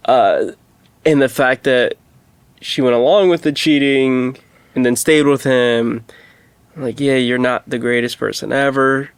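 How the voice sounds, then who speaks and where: A man co-hosting a podcast in casual discussion, reasoning out loud tentatively and checking for agreement as he goes. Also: medium pitch, steady tone, a little slow, clear, resonant tone, no echo. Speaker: podcast co-host.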